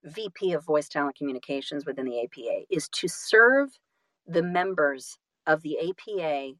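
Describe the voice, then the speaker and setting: A woman's voice: low-pitched, moderate pace, no echo. A woman speaking as a guest audiobook narrator and actor in a casual interview, earnest and effusive, slipping into little acted-out asides. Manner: expressive, acts out little quotes and asides.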